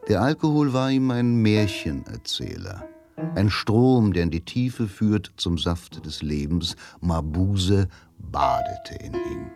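Male narrator for radio drama: deep, unhurried, deliberate clipped phrasing over clear music. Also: big pitch swings. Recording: music behind the voice.

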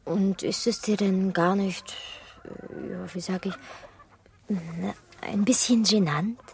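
A shy, curious village girl in a radio play. She talks slowly and hesitantly in a middling pitch, with faint noise and farmyard fowl behind. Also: fairly even intonation, vocal fry, darkish tone. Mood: curious, coy. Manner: hesitant, searching for words. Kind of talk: German radio drama acting.

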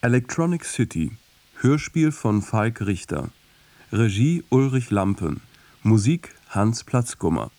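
A male announcer reads scripted credits at a very slow pace. His voice is dark and fairly low, with lively intonation. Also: no echo.

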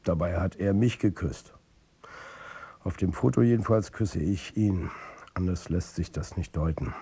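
A troubled football coach who confides his own story in a radio drama. His low voice is mellow and somewhat husky, a family man narrating in the first person. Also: measured pace, full character voice. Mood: tense, shaken. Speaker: narrator and lead character.

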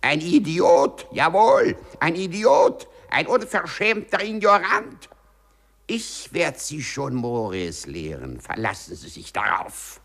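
Gravelly, wildly swooping vengeful office clerk. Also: male voice, fairly high pitch, big pitch swings, slow, fairly bright tone, heavily acted. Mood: taunting triumph. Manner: theatrical, muttering to himself, mock-servile. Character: meek male office clerk turned spiteful, gleeful avenger. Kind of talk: 1950s German radio play acting. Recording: 1950s radio production.

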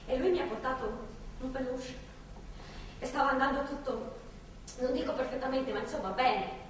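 In a very echoey, far-off theatre recording, a young captive woman speaks in a high voice. She is bitter and defiant, swearing and repeating herself in agitated, halting bursts. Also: fairly bright tone. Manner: foul-mouthed, agitated, repetitive and halting. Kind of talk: theatrical stage drama acting. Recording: echoey, distant theatre recording, faint noise.

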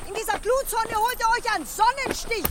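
An actress playing an anxious, domineering mother afraid of germs in a radio drama, with a shrill, overwrought manner. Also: a little fast, a crowd in the background. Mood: scolding, alarmed. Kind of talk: German radio drama acting.

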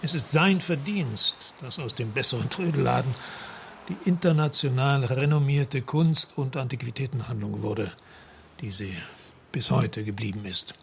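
A man's voice: mid-range voice, normal speed, gravelly, slight background noise, natural voice. An actor playing a well-spoken antiques dealer under questioning in a radio crime drama, formal and careful in his phrasing. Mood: guarded.

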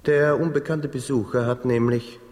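An actor playing a cold, accusing gentleman in a radio play, speaking in a formal, cutting, controlled way. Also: mid-range voice, fairly even intonation, moderate pace, big-room sound, natural voice.

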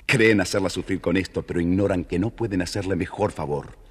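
Deep, raspy male fairy-tale narrator. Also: a little fast. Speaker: storyteller, narrator.

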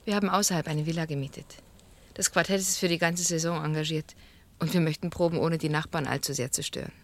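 An actress playing a cool, resolute grieving daughter with a controlled, firm delivery in a radio crime drama. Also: female voice, deep voice, fairly even intonation, quick pace. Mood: subdued, grave. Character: cool, resolute pianist, murdered man's daughter.

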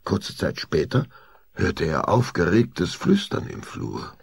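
A male narrator with an extremely low, husky voice tells a radio-play story. He is deliberate and suspenseful, and his intonation moves up and down expressively. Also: big pitch swings, dry recording. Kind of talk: scripted dramatic audio-play narration.

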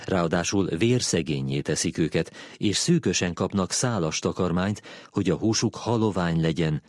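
Low-voiced man reading an essay. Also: darkish tone, no room sound, clean studio sound. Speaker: narrator reading a philosophy essay. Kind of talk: scripted narration, read aloud.

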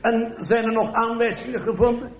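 A man's voice: extremely high pitch, fairly even intonation, moderate pace, muffled recording, pitched above the performer's own voice. A man playing a whiny, bumbling police inspector who exclaims and questions in a comic radio play. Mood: baffled. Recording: echoey room, faint noise.

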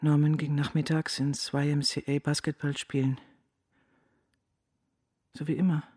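A middle-aged mother in mourning, delivering a radio drama monologue. Her voice is husky and restrained, the phrases plain and halting, in a very dry recording. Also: low voice, flat delivery, dark tone, dry recording. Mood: restrained grief. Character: grieving, quiet mother recounting her son's death.

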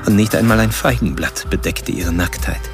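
Guy playing creepy obsessed killer, deep croaky voice, slow n deliberate monologue, music behind, radio play. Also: lightly acted. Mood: cold, intent. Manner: slow, deliberate, self-absorbed confiding. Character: cold, obsessive male serial killer narrating his fantasies. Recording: voice over background music.

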